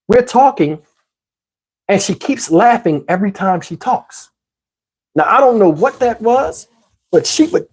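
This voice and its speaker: A man with a husky, slightly rough voice tells a personal story as the host of his own channel. His delivery is casual and slangy. He animatedly plays out both sides of conversations for comic effect. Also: high voice, poor audio. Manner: animated, slangy, acts out dialogue.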